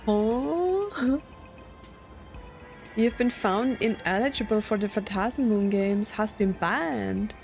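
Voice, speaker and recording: A woman's voice: mid-range voice, slow pace, gravelly, long pauses, darkish tone, faint music behind. Casual woman commenting on a game. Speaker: gaming video host. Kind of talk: casual playthrough commentary and reactions.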